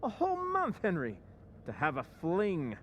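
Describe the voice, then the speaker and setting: A man's voice: high voice, huge pitch swings, normal speed, highs cut off, a little hiss or hum. A man playing a sly, giddy husband. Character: timid husband with a secret scheme. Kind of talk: old-time radio drama acting.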